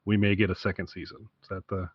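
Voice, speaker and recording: A man with a fairly low voice chats as a podcast co-host. His delivery is conversational and wry. The recording is very dry and somewhat dull, lacking crispness. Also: flat delivery, quick pace, no room sound. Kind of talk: casual podcast chat.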